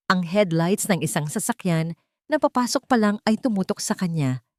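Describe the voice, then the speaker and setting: Lower-voiced woman narrating dramatically. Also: dry recording, studio quality. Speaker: story narrator. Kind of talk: dramatic scripted narration of a love story.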